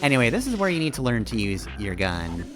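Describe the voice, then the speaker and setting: A man's voice: medium pitch, big pitch swings, fast talker, background noise. A man streaming a video game with casual, rambling commentary, analytical and wry. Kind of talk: casual live gameplay commentary. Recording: game music behind the voice. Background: video game music.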